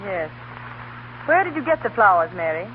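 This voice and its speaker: An actress playing an earnest, patient but strict young headmistress in a 1930s radio drama. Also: female voice, medium pitch, very animated, normal speed, close to an everyday voice. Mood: suspicious, firm. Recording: tinny 1930s radio broadcast, faint hiss.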